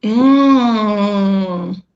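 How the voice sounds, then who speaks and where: A middle-aged woman, a PR consultant, talking casually in an interview, animated and emphatic, often acting out her own thoughts. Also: medium pitch, drawn-out words, highs cut off. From England. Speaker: PR consultant guest.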